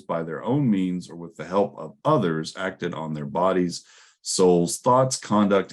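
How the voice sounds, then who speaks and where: A man giving a scholarly conference presentation, explaining ideas in a hesitant, pausing way. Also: sits low. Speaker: academic presenter.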